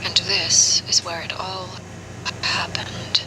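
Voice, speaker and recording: A woman playing a cold, composed commander in a video game, recounting a dark past in a soft, breathy, measured voice. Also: lowish voice, flat delivery, noisy background. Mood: cold, solemn. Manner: measured, solemn, deliberate. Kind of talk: scripted game storytelling.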